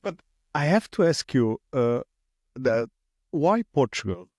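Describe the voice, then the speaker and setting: Guy hosting a podcast, mid pitch, dry close mic, talks slow and careful. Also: big pitch swings, darkish tone, no room sound. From Romania. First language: Romanian. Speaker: podcast host. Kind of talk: interview questions. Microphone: headphones and studio microphone.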